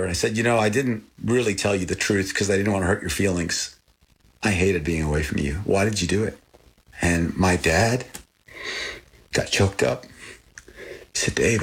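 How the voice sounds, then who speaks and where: An older man with a low, husky voice who grew up speaking Thai. He talks earnestly as an interview guest, reflective and searching, and his voice rises when he remembers something. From born in Texas, raised in Thailand. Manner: earnest, animated when recalling, repeats and restarts.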